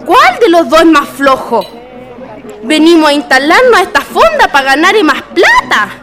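A woman playing a bossy, jealous, sharp-tongued working-class wife with a Chilean accent in a radio play. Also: very high-pitched, very animated, normal speed, very noisy. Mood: scolding. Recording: loud music and crowd behind. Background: festive music and crowd.

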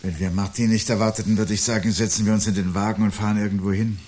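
An actor as a very deep, husky, brooding husband. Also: male voice, level tone, a little mellow, lightly acted. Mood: tense, dry. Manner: brooding, deliberate, weighing every word. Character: uneasy, brooding husband sensing a looming catastrophe. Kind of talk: 1970s radio drama acting.

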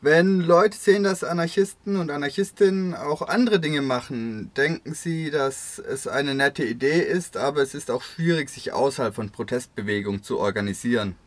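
A man's voice: on the high side, moderate pace, few pauses, no echo. A man reading a translated interview as a voice-over for community radio.

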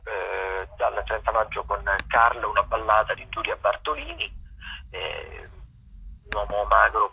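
A man runs through the week's theatre listings in a rambling, hesitant way. His low voice is gravelly and husky. The whole thing comes through a thin, tinny phone line. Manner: relaxed, rambling, with many hesitations. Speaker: theatre critic and regular contributor. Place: at a festival, away from the studio.

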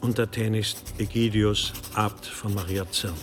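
An actor playing a pious abbot with formal, archaic, solemn speech in a radio drama. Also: male voice, fairly low pitch, fairly even intonation, unhurried, noisy background, lightly acted. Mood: solemn pleading. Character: pious, pleading abbot of a mountain monastery, impatient with his brothers.

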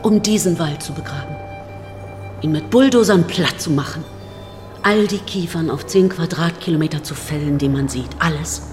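A woman acting a stern Soviet scientist in a radio play, cold and commanding, with a lowish voice. She sounds in a long, echoing hall with music beneath. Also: normal speed, a little mellow, close to an everyday voice. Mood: grave, stern. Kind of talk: German radio drama acting. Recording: reverberant hall, music underneath.

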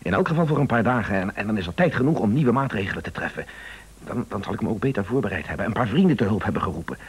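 An actor playing a determined news agency boss in a Dutch radio play, speaking urgently and insistently with hesitations. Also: male voice, lowish voice, lively intonation, rapid-fire, husky, full character voice. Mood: urgent, resolute.

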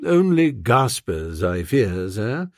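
A man voicing a discreet, deferential English valet in an audiobook, formal, measured and deadpan. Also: lowish voice, no room sound, clean studio sound, full character voice.

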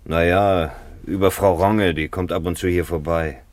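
A man's voice: low voice, fairly even intonation, moderate pace, no room sound, close to an everyday voice. A man acting a wry, chatty small-town petrol station keeper in a German radio play, folksy and colloquial. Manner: folksy, colloquial, deferential to the doctor.

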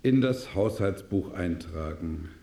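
Slow, stern father, echoing. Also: deep voice, animated, warm tone, heavily acted. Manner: slow, deliberate, commanding. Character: stern, grumpy father, a Parisian lawyer, middle-aged. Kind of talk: radio drama acting. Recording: roomy, echoing sound.

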